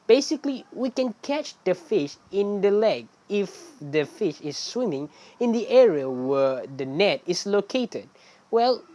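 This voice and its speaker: A woman with a low-pitched voice hosts a casual explainer. She speaks non-native English with loose grammar. Her delivery is animated and often poses questions that she then answers. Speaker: video host.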